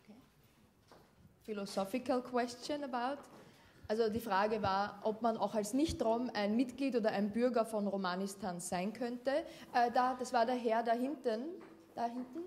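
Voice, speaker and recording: A woman moderating a conference panel, briskly gathering questions from the audience and managing the time. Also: fairly high pitch, a little mellow. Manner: brisk, organizing. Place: conference hall.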